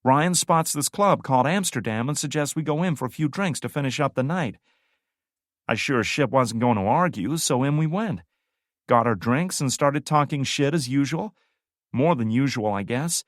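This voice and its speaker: A man's voice: medium pitch, expressive, normal speed, no room sound, studio quality, voice pushed up, natural voice. A man voicing a brash, foul-mouthed young woman soldier who tells a story with boisterous, swearing glee. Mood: gleeful telling.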